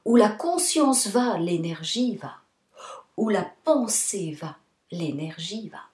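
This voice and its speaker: A woman yoga nidra instructor guiding a relaxation meditation in a soothing, deliberate manner, in short phrases with pauses. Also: mid-range voice, expressive, darkish tone. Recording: roomy, echoing sound.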